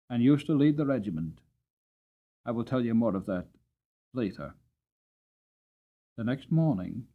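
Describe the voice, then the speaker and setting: Expressive male storyteller, very animated pitch. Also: narrow, tinny audio. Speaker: audiobook reader. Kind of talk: dramatic storybook narration.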